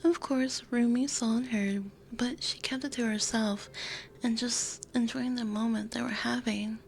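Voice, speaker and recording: A woman gently narrating a scripted ASMR story, breathy and often whispered, close to a binaural microphone. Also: on the high side, fairly even intonation, unhurried. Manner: gentle, soft-spoken. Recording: faint background noise.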